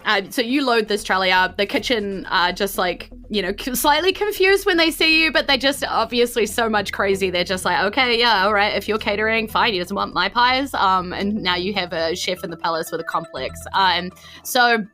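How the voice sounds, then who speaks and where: A woman's voice: mid-range voice, normal speed, pushed and bright. A woman with a New Zealand accent running a tabletop game, improvising her narration in an informal, meandering, conversational way. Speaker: game master.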